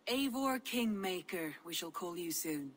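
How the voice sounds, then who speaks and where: A woman's voice: lowish voice, animated, a little slow, very noisy. A woman playing a composed Viking clanswoman bearing news in a video game drama. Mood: grave, gentle.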